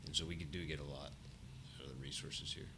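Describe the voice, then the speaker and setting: Middle-aged male professor, deep and mumbly, bright timbre, halting delivery. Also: steady tone, a little hiss or hum. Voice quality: low, mumbling voice. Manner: halting, restarts and trails off. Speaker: engineering professor, guest. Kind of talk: casual conversation. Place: university lab or office. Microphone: shared podcast mic.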